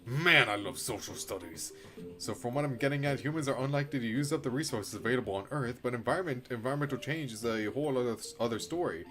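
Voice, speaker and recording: A man with an average-pitched voice reads a game's story aloud in an expressive, theatrical way. He slips into casual, sweary commentary as a streamer does. Music plays behind him. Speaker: streamer reading a visual novel aloud. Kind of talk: dramatic read-aloud mixed with casual live reactions.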